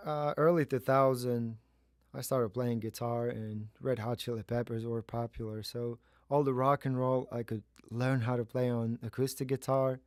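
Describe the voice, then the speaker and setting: Male podcast co-host, thirties, flat intonation, dry close recording, casual storytelling. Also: medium pitch, no echo. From Kazakhstan. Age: 30s.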